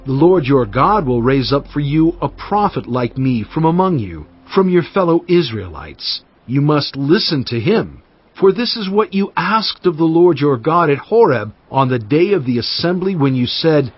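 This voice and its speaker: A man reading scripture as a dramatized narration, solemn, measured and emphatic in delivery. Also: on the high side, sing-song, slightly rough, muffled recording. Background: cinematic music.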